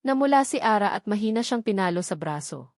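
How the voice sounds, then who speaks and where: A Filipino woman narrates a dramatic love story in a measured, expressive way. Her pitch sits in a middle female range. The recording is close and dry, with hardly any room sound. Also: no echo.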